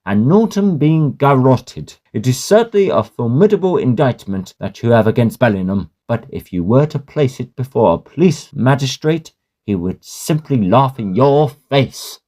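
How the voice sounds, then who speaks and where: A man playing a sceptical, concerned learned gentleman in a Victorian horror drama, with a measured, reasoning, formal manner. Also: medium pitch, lively intonation, lightly acted.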